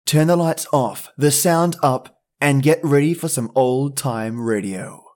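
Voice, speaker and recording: A man's voice: mid-range voice, unhurried, darkish tone. A man hosting a podcast, giving a scripted introduction to an episode. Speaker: podcast host.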